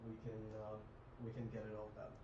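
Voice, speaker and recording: A young man hosting a live trading stream, chatting casually with viewers in a relaxed, rambling way with many ums and restarts. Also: on the low side, fairly quick. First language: English. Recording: muffled lo-fi audio with clear noise.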